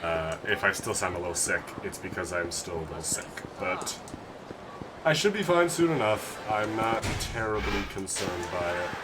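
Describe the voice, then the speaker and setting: A man with a nasal voice who is still getting over a cold talks through his gameplay in a relaxed, rambling way. His voice is fairly low. A clear, constant noise runs behind him. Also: normal speed. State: recovering from a cold. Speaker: game streamer. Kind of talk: casual let's-play commentary.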